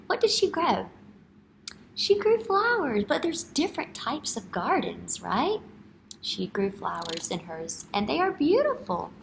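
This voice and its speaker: A woman teacher in her thirties leads a read-aloud for young children in a classroom. Her pitch swings widely as she asks questions, and she takes her time over the words. There is noticeable room noise behind her. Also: fairly bright tone. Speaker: elementary school teacher. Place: indoor classroom. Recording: clear background room noise.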